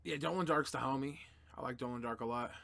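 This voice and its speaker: A young man hosting a casual livestream, answering chat questions in a loose, profane way that swings between mumbling and loud outbursts. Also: mid-range voice, fast talker, gravelly.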